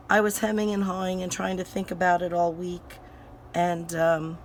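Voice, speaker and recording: Female crafting host, hoarse recovering voice, rambling and unhurried, slight background hiss. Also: lowish voice. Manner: rambling, full of fillers and restarts. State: hoarse, voice recovering from sinus infection. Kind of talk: casual crafting chat while working.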